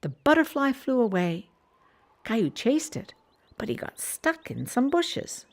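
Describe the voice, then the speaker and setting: Expressive woman reading a children's storybook. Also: on the high side, unhurried. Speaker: narrator. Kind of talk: scripted children's storybook narration.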